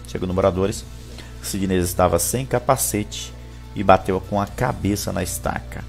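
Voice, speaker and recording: Male news presenter, 50s, low voice, live local bulletin over a music bed. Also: creaky, a little mellow. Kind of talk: reading local news, greeting viewers live. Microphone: headset.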